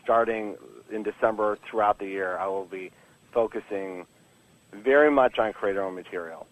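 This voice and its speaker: A man's voice: lowish voice, normal speed, rough recording. A man speaking casually and candidly as a guest in a podcast interview, rambling with frequent false starts and a joking streak. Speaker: comic book writer being interviewed.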